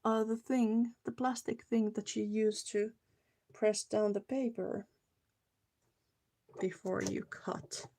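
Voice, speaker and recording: A woman's voice: mid-range voice, level tone, slow pace, pauses often, warm tone. A Finnish woman with a Finnish accent, chatting casually with viewers during a live card-making stream and sometimes hunting for words. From Finland. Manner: chatty, searches for words, talks to viewers while working. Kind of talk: casual live crafting chat. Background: clicking crafting tools.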